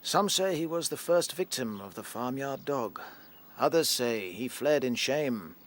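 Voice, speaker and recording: A man playing an aged, kindly, wise rabbit chief in a radio drama, speaking in a fatherly, measured way. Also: medium pitch, expressive, lightly acted. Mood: fond, storytelling.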